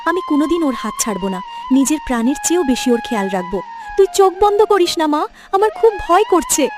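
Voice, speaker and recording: A woman voicing an earnest, protective young elder sister in an emotional cartoon story. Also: extremely high pitch. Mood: desperate, grieving. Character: devoted, protective orphaned elder sister, young village girl. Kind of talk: Bengali cartoon moral-story voice acting.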